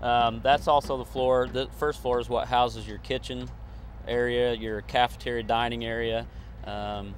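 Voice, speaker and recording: A middle-aged man explains a site layout in a flat, mid-pitched voice. He speaks into a handheld mic outdoors, with faint vehicle noise around him. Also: measured pace. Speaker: construction site guide. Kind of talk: explanatory interview answers. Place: open outdoor construction site. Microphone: handheld interview microphone.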